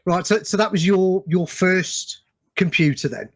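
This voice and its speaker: A man's voice: high-pitched, lively intonation, a little slow. A British man hosting a podcast, chatting and asking questions in an informal, matey way. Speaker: podcast host. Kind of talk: casual interview chat.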